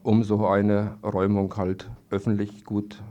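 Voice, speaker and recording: A man gives a hesitant radio interview in a low, fairly even voice. He repeats words and pauses mid-sentence. The sound is dull and lo-fi, like an old broadcast tape. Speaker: interviewed evicted trailer-camp resident. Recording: dull lo-fi archival radio recording.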